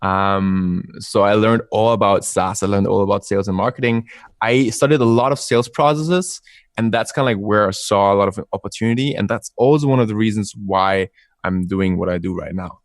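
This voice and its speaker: A man's voice: fairly low pitch, a little fast, no room sound, studio quality. A young man with an Austrian accent, a guest telling his story on a call, upbeat and chatty. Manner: upbeat, chatty storytelling with frequent ums and laughs. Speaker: guest entrepreneur. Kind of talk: casual interview over a call. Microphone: remote call.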